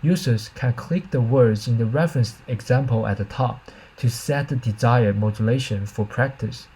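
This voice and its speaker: A man's voice: mid-range voice, normal speed, mellow, husky, poor audio. A man reading a scripted academic presentation as a researcher, in a careful, formal manner with slight stumbles.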